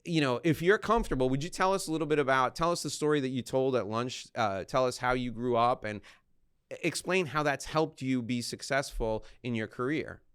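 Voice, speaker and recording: A man's voice: medium pitch, fairly quick, a bit bright, clear, resonant tone. A man hosting an interview podcast, telling anecdotes in a chatty, informal way. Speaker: podcast host.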